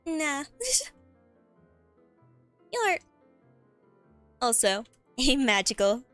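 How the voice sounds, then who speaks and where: High-voiced woman streamer thinking aloud, slightly cracky. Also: lively intonation, a bit bright. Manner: halting, thinking aloud, repeats words while typing. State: voice a bit cracky. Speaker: streamer and writer. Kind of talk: casual livestream chat while writing a script aloud. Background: background music.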